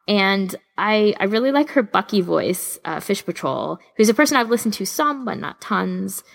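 A woman's voice: medium pitch, expressive, normal speed, gravelly. A woman co-hosting a podcast, chatting casually and informally with emphatic opinions. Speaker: podcast co-host. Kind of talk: casual fan chat.

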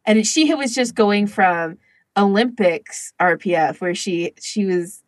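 A woman's voice: medium pitch, slow, dry recording. Chatty woman podcaster, close dry sound. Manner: chatty, frequent "like" as filler. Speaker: podcast host. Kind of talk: casual chat among fan podcasters.

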